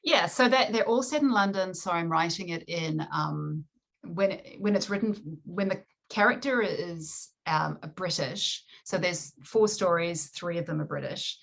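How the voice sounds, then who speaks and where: Gravelly-voiced New Zealand woman, chatty. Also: fairly low pitch, measured pace, fairly bright tone. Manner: chatty, laughing often, many restarts and fillers. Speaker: author guest. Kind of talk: casual interview chat.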